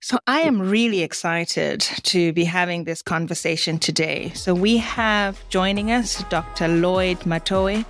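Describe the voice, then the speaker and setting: Low-voiced woman hosting a podcast. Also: a little slow, hardly any pauses, fairly bright tone, faint music behind. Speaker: podcast host. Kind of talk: scripted podcast introduction and interviewing.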